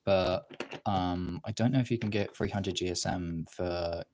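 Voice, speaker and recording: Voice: low-pitched, a little slow, darkish tone, slight background noise. Someone giving a casual hands-on art tutorial, rambling and thinking aloud while working.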